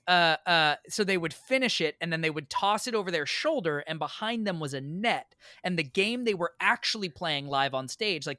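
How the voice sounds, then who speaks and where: A man's voice: fairly high pitch, pushed and bright, dry recording. A man with an American accent telling casual stories as a podcast guest, emphatic and playfully exaggerated. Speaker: podcast guest, theater performer. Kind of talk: animated casual storytelling.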